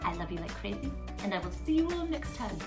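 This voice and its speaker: A woman hosting a read-aloud for young children, expressive and emphatic, speaking straight to the listener. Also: medium pitch, fast talker, roomy sound, music in the background. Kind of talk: animated picture-book reading for young children.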